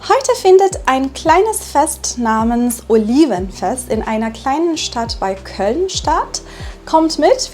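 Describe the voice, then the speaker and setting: A woman from Iran, a German teacher, speaking slowly and clearly in a vlog for learners and often checking in with tag questions. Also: a little high, a little mellow. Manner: deliberate, with frequent tag questions.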